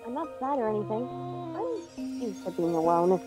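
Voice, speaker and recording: A playful young death goddess from an anime game. Her voice is high and very animated, with a teasing lilt. Game music plays clearly behind her. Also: normal speed. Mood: wistful. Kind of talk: anime video game voice acting.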